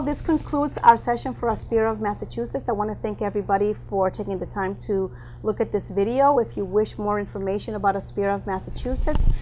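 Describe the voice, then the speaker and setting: A woman hosting an informal interview, asking questions and presenting to viewers. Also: mid-range voice. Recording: clearly noisy room ambience.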